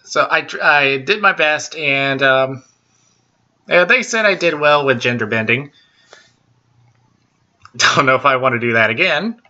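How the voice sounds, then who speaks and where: A man hosting a casual solo show, talking slowly with lots of ums, uh's and restarts, in a baritone voice. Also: pushed and bright, highs cut off. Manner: slow and halting, many ums and restarts. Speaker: podcast host.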